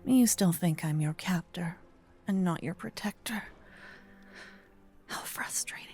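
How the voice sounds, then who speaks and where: A woman voicing a gentle, possessive old female vampire in an ASMR roleplay, murmuring and whispering close to the microphone. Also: fairly low pitch, soft background music. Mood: teasing, intense. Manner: breathy, slow whispering and murmuring. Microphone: close binaural microphone.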